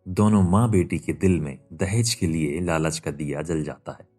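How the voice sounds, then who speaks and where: A man with a very low voice narrates a cartoon tale from a script. Soft music plays underneath him as he tells the story. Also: moderate pace.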